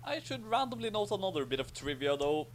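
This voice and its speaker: A man's voice: high voice, sing-song, a little fast. A man giving casual commentary as the author of a story.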